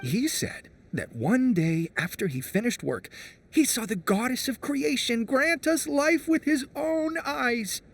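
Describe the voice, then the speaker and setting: An actor playing a fussy, excitable small forest creature who stammers eagerly and defends himself, in a game cutscene. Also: male voice, very high voice, unhurried, creaky, slight background noise, exaggerated voice. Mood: earnest. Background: music.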